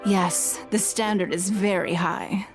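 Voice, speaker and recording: Actress as slow, dignified noblewoman. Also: mid-range voice, fairly even intonation, music in the background, natural voice. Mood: approving. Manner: formal, measured. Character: poised, dignified noblewoman of authority. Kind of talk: video game cutscene voice acting.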